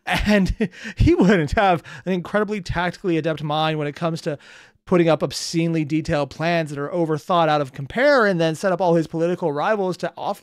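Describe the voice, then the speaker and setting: A man's voice: a little high, no echo, studio quality. A man hosting a reaction video, giving off-the-cuff, sarcastic and exasperated commentary full of rambling asides.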